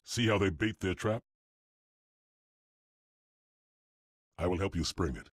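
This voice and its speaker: An actor playing a proud, solemn alien warrior in a game, deep and husky with formal, measured phrasing. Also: male voice, dry recording, clean studio sound. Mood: wary resolve. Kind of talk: video game cutscene acting.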